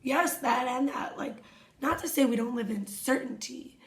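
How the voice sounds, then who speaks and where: A hoarse woman with a somewhat high voice talks in a gentle, meditative way, often sinking to a whisper. She sounds like a wellness coach sharing reflective self-help prompts. The recording carries a noticeable room echo. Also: moderate pace. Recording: echoey room.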